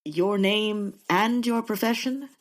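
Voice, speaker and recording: A woman's voice: medium pitch, slow pace, heavy background noise, lightly acted. A woman playing an impatient, weary investigator in a comedic audio drama, curt and exasperated.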